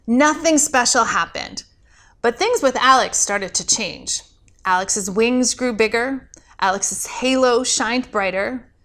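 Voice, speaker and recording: Female storyteller, high voice, expressive read-aloud for a children's holiday tale. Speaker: pastor and storyteller, show host.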